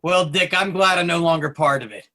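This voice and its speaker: A man's voice: on the high side, fairly quick, pushed and bright. A man playing a skeptical, wordy former banker in a scripted table read.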